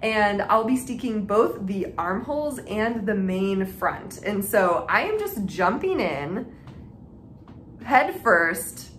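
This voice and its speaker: A woman speaks casually to her viewers as a podcast host, in an informal, chatty way that often doubles back on itself. Her pitch is average for a woman. The room echoes around her voice, and there is faint noise in the background. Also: very animated, slow, fairly bright tone. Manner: chatty and informal, frequent "like" and self-interruptions. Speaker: knitting and reading podcast host.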